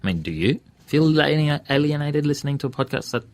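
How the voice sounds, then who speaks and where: A man's voice: a little high, expressive, quick pace. An Australian man speaking casually as an interview guest, earnest and thinking aloud as he gives his views. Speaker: interview guest, community radio presenter and podcaster.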